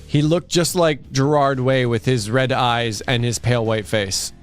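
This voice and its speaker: Male stream co-host, mid-pitched, joking dramatic read with banter, music behind. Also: fairly even intonation, measured pace, clear, resonant tone. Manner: joking, breaks off for asides. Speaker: livestream co-host reading fan fiction aloud. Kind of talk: comic dramatic reading mixed with casual banter.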